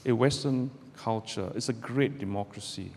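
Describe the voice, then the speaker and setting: A middle-aged man from Malaysia, a barrister giving a measured, deliberate public talk to students from a podium in a lecture hall. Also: on the low side, a little mellow. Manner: measured, deliberate delivery with pauses. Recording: roomy hall with long reverb, faint background noise.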